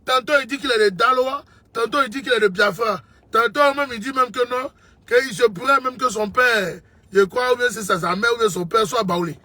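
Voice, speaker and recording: A man's voice: very high voice, normal speed, pushed and bright, dry recording. A man from Côte d'Ivoire, giving an impassioned political monologue, emphatic and repeating his points to the listener as a brother. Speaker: political commentator.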